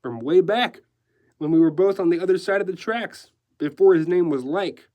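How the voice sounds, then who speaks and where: A male narrator puts on a theatrical society decorator for an audiobook. He is gleeful and sly, with a raised pitch. He gushes and drops in French phrases with affected flair. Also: normal speed, muffled recording, natural voice. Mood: nostalgic, confiding.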